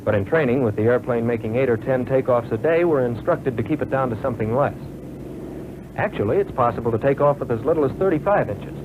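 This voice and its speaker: Man acting as young American trainee fighter pilot, earnest, plain-spoken, measured 1940s training film narration, tinny narrow sound, faint noise. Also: medium pitch, natural voice. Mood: plain, assured.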